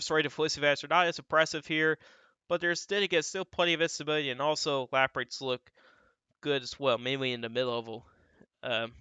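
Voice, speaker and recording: Young man, stuffy dry throat, casual rambling forecast talk, bright crisp voice, dull dry close mic. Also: on the high side, dry recording. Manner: loose and conversational, repeats filler phrases. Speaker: weather channel host. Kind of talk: casual weather forecast commentary over model maps.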